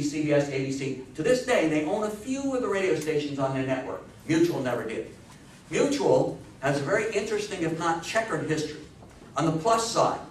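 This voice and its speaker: A middle-aged or older American man lectures informally to a room in a slightly high voice. He speaks emphatically, posing questions as he goes. The sound is very reverberant and picked up from a distant camera microphone. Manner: emphatic, asks rhetorical questions. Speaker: lecturer on radio history. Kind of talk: informal public history talk. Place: small indoor meeting room with seated audience. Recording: echoey room, far microphone, faint hiss.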